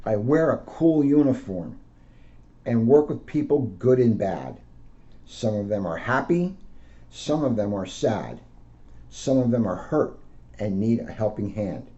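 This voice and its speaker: Mellow-voiced man, dull lo-fi recording. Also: lowish voice, sing-song, a little slow. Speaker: police officer reading a picture book to children. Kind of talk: read-aloud storytelling with casual asides. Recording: dull lo-fi sound.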